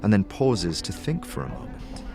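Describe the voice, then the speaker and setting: Low, lively male fantasy narrator. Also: moderate pace, vocal fry, music in the background. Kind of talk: dramatic scripted fantasy narration.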